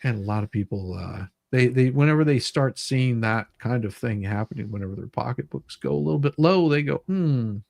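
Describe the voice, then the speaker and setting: A man's voice: mid-range voice, animated, fairly quick, creaky, few pauses, a little mellow, no room sound, clean studio sound. A man hosting a casual livestream, chatting in an informal, rambling way. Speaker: livestream host.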